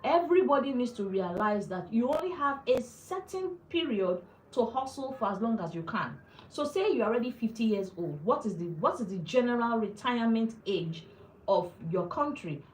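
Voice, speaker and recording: A woman's voice: on the high side, expressive, brisk, a little hiss or hum. Earnest woman host in an echoey room. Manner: earnest, repeats phrases for emphasis. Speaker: personal finance host. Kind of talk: live-stream talk to viewers.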